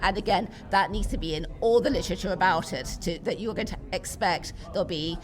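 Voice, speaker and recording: A woman's voice: lowish voice, a little fast. Emphatic British woman, busy crowd behind. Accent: British English. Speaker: interview guest, pantomime enthusiast and parent. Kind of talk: conversational podcast interview. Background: chatter of people at a venue.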